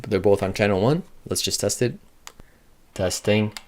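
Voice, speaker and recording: A man's voice: on the low side, normal speed, darkish tone, slight background noise. A man giving a casual, unscripted product demonstration, thinking aloud in a matter-of-fact way as a reviewer.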